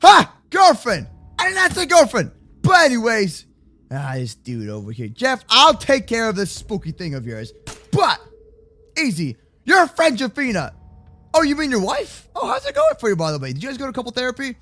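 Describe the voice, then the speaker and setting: A young man doing excitable, playful gaming roleplay commentary for children, slangy and exclamatory. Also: very high voice, moderate pace. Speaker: host of a gaming roleplay channel. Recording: faint music behind the voice. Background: faint music.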